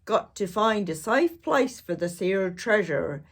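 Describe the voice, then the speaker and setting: Woman acting a male pirate captain, loud rough bellow, jovial and bossy, swooping pitch, storybook style. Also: expressive, slow pace. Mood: bossy, commanding. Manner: hearty pirate talk, shouted orders.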